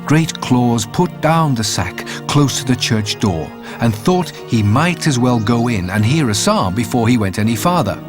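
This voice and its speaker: Expressive male storybook narrator. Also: mid-range voice. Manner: measured, expressive reading. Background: faint music.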